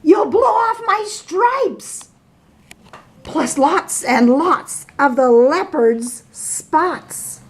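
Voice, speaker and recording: A woman's voice: high voice, animated, extremely slow, pitched above the performer's own voice, heavily acted. A woman voicing an alarmed zebra who exclaims in fright.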